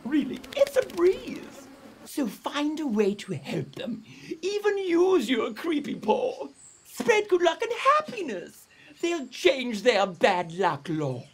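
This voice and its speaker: A man's voice: extremely high pitch, wildly swooping pitch, takes it slowly, a little hiss or hum, pitched above the performer's own voice, heavily acted. A man voicing a kindly female flying fox who cheerfully explains things in a sing-song storybook voice. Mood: cheerful, encouraging.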